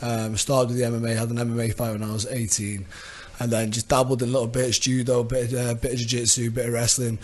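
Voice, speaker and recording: North Welsh boxer, mid-pitched, relaxed interview answers. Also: male voice, fast talker, few pauses. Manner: casual, easygoing, many fillers.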